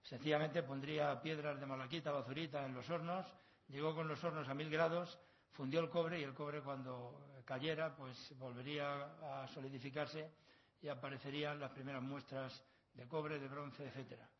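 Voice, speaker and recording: A man's voice: fairly high pitch, steady tone, moderate pace. A middle-aged man from La Mancha, Spain, with a Castilian accent, giving an academic conference lecture as a professor in an erudite, discursive manner. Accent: Castilian Spanish. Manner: erudite, discursive, with proverbs and learned asides. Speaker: university professor, conference speaker. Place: long conference hall. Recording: slightly dull, lo-fi sound.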